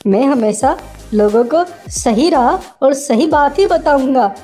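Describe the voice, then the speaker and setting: Brief: woman voicing a gentle poor priest, earnest and pleading, mellow tone over faint music. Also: high voice, animated, slow, pitched above the performer's own voice. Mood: firm, earnest. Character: poor honest village priest, gentle and despairing man.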